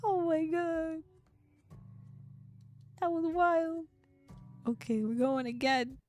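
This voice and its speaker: Woman streamer, excitable reactions, swears and laughs. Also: extremely high pitch, slow, soft background music. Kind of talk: gaming stream commentary.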